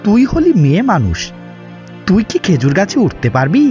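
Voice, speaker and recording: A man's voice: high voice, huge pitch swings, normal speed, mellow, dull audio, faint music behind. Man voicing a swooping, fussing village uncle. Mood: alarmed. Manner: exclamatory, fussing. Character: fretful, kindly middle-aged village uncle. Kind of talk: Bengali fairy-tale cartoon dubbing.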